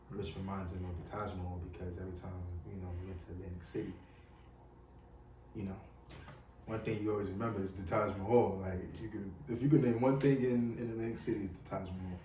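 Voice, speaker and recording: A man's voice: low-pitched, fairly quick, background noise. Laid-back New York man, roomy distant sound. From New York City. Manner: relaxed, rambling, peppered with "you know what I'm saying". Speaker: music artist guest. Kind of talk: casual interview. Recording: roomy, distant mic with faint ambience.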